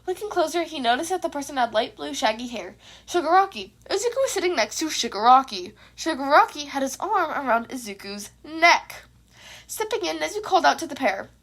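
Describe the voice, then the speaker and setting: A woman's voice: sits high, big pitch swings, brisk, a bit bright. A woman reading a scripted piece of fan fiction aloud as a narrator. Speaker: podfic narrator.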